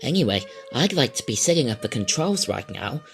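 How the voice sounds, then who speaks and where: An eager young male adventurer played by a man speaks with restless excitement. The voice is mid-pitched and lively over faint music, in audio-serial style. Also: a little fast, creaky. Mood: eager longing. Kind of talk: audio adventure story acting.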